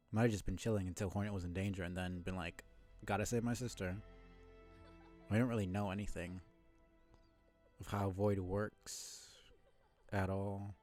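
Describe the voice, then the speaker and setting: A man's voice: sits low, dark tone. A man giving casual live game commentary as a streamer, thinking aloud in a speculative, casually sweary way.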